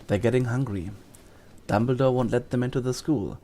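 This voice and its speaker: An actor in an audio drama playing a gentle, weary wizard teacher who explains things in a grave, measured, reassuring way. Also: male voice, medium pitch, lightly acted.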